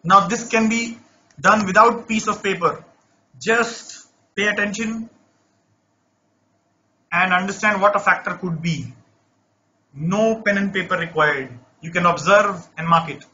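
High, bright man teaching math. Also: measured pace, pauses often, muffled recording. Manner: coaxing, repeats checks like "right?". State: voice not normal, says he lost his voice. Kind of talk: live online class, problem solving.

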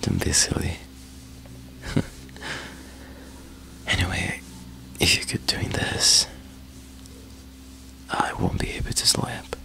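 A man whispering softly in a teasing way, with breathy laughs, in an intimate ASMR roleplay. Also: slow pace, long pauses.

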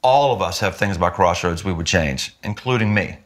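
A man in his fifties, a senior pastor, talking earnestly and emphatically in a seated interview in a living room. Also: fairly quick. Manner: earnest, emphatic, with lively jumps in pitch when stressing points. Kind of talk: sit-down interview conversation. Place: living room with window, indoors.

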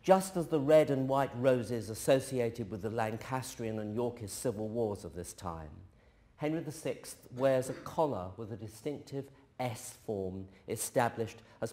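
A man's voice: on the low side, moderate pace, mellow. Male lecturer over music. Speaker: professor, lecturer. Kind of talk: scripted art-history lecture. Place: indoor hall, standing at lectern.

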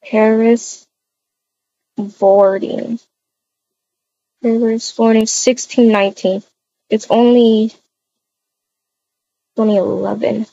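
Casting: woman, higher-pitched, mellow voice, improvised game roleplay, talks through each action. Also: flat delivery, slow pace, creaky, pauses often, dull audio, slight background noise. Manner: chatty, narrates own actions aloud. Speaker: roleplay video creator.

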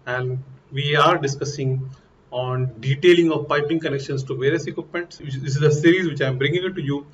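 A man's voice: a little high, steady tone, fairly quick. A middle-aged man, explaining piping over slides in an earnest, step-by-step way, recorded in a small room on a lapel mic. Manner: earnest, explains step by step, pointing things out on diagrams. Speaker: HVAC instructor. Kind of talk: technical lesson over slides. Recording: dull lo-fi audio with faint noise.

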